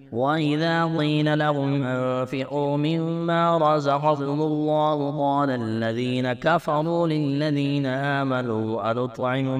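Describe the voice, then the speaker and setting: A man reciting scripture in a melodic Quranic chant, measured, with drawn-out verse endings. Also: mid-range voice, clear, resonant tone.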